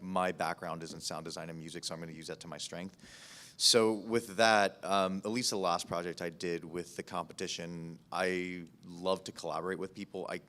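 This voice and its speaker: A man's voice: low-pitched, fairly bright tone. A man speaking as a podcast producer on a panel, in casual, conversational language.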